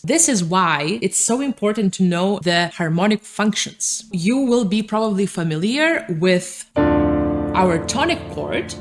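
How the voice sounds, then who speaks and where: A woman from Lithuania, a native Lithuanian speaker, teaching a piano lesson and calmly explaining scales while she plays. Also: medium pitch. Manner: calm, methodical explaining while playing. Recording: piano music under the voice. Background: piano playing.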